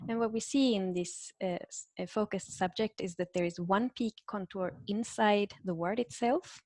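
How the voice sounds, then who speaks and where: A woman's voice: mid-range voice, darkish tone, dry recording. Woman giving an academic talk. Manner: measured, frequent "uh" fillers, explanatory. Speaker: linguistics researcher presenting a talk.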